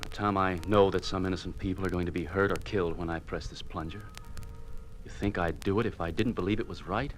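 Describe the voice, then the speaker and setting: A man's voice: sits low, fairly even intonation, fast, faint music behind, natural voice, low-quality recording. An actor with an American accent playing a cold, resolute male ringleader of bombers in an old-time radio drama. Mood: cold, persuasive. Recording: vintage radio recording.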